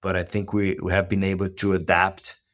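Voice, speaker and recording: A man in his forties from Brazil with a Brazilian accent and a Portuguese first language, explaining his business as a podcast guest in a measured way, often checking with "right?". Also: sits low, monotone, a bit bright. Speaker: guest, company co-founder. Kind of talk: podcast interview.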